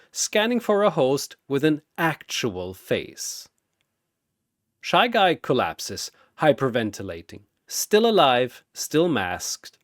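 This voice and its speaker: A man's voice: a little high, dry recording, clean studio sound. A man delivering dramatic scripted narration as a storyteller, theatrical, with short suspenseful phrases.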